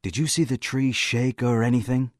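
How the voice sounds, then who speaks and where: A man voicing a candid, excitable teenage schoolboy in an audiobook, earnest and a little flustered as he remembers. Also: medium pitch, no room sound, studio quality, pitched above the performer's own voice, natural voice. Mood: friendly. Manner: spontaneous, earnest, halting as he remembers.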